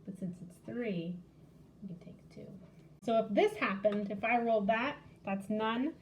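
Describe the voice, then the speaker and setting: A woman's voice: on the high side, lively intonation, a little slow, a little hiss or hum. A woman hosting a children's library storytime, casually showing a craft and game step by step in simple words.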